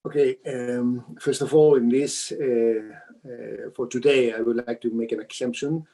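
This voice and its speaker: Even-toned Spanish-speaking man, hesitant. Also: mid-range voice, measured pace. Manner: hesitant, searching for words. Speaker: theatre director. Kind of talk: unscripted post-show Q&A.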